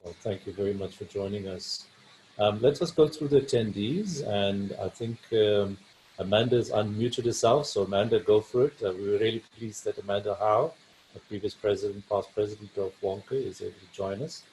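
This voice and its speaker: A low-voiced man hosts a video call, pausing often with many fillers as he moves things along. A faint hiss of background noise sits under his voice. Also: a little mellow, rough recording. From South Africa. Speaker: webinar host, family physician. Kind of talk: casual hosting of a video call.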